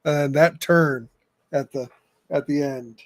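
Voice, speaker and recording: A man with a slightly high voice hosts a relaxed fan chat. He speaks haltingly, often searching for his words as he goes. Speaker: podcast host.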